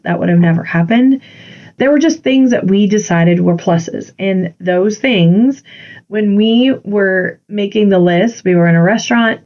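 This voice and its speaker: A woman's voice: on the low side, a little mellow. Congested woman coaching in a dull recording. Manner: earnest, encouraging, personal. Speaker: podcast host and confidence coach. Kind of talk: solo podcast monologue.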